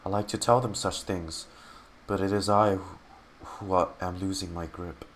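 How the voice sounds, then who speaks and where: A man who streams games, reading in-game text aloud dramatically and haltingly and muttering his reactions. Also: low-pitched, steady tone, slight background noise.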